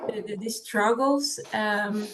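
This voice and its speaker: A woman interviewing a guest, asking her questions gently and hesitantly. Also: medium pitch, takes it slowly, poor audio.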